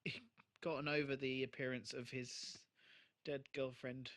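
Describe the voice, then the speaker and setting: Bright-voiced man on a casual podcast. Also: mid-range voice, little pitch movement, unhurried. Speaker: podcast co-host. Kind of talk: casual chat about a TV show.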